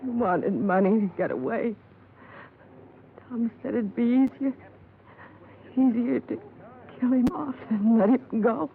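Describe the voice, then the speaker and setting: An actress playing an anguished, bitter wife in a 1950s radio crime drama, speaking in halting, broken phrases. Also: female voice, on the high side, gravelly, phone-quality sound. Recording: thin, noisy 1950s radio recording.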